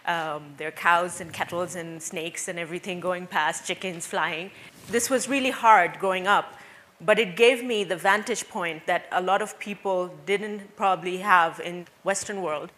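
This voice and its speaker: A woman's voice: on the low side, normal speed, a bit bright. A woman from Bangladesh, in her thirties, giving a personal talk on stage before an audience, earnest and reflective with frequent hesitations. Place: stage before a seated audience in a dark hall. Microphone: headset mic.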